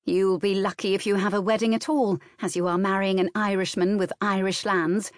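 A woman's voice: fairly high pitch, sing-song, normal speed, no room sound, studio quality, pitched above the performer's own voice. A woman voicing a petulant, self-centred young bride in an audiobook, indignant and insistent. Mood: snappish.

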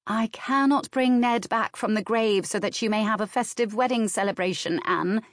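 A woman voicing a sensible, dry-witted elder sister in an audiobook, lecturing and gently reproving. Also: medium pitch, lively intonation, normal speed, no echo, voice pushed up, natural voice.

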